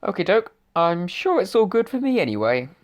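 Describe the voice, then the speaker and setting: A woman's voice: on the low side, big pitch swings, normal speed. A woman voicing the ghost of a murdered, cheeky male writer who makes sarcastic asides in an amateur audio drama. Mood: wry.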